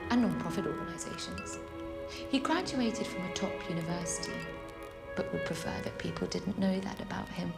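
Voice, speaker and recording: A woman's voice: on the low side, lively intonation, fairly quick, gravelly. An actress playing an overly upbeat, people-pleasing woman in scripted narration and dialogue, earnest and polite. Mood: self-assured cheer. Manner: earnest, relentlessly positive, polite. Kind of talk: scripted first-person narration and film dialogue. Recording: loud music behind. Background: music.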